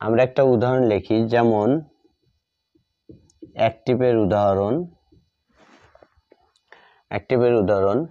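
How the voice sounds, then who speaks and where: A man's voice: on the low side, steady tone, measured pace, pauses often, dark tone, no echo. A man with a Bengali accent teaches grammar, repeating phrases and spelling words out as he writes examples. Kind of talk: classroom grammar lesson, writing out examples while explaining. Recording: dull lo-fi recording.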